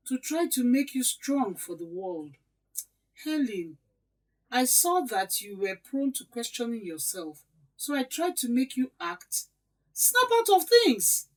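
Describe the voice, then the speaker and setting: A blunt middle-aged Nigerian stepmother played by an actress, a little away from the mic. Her high voice swoops in indignant, self-justifying lecture, audio drama style. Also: distant mic, poor audio.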